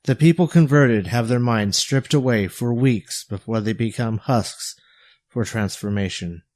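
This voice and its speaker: A man voicing a sober, battle-worn bodyguard and mentor in an audio story, measured and earnest. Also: mid-range voice, a little mellow, dry recording, pitched above the performer's own voice, close to an everyday voice. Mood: grave.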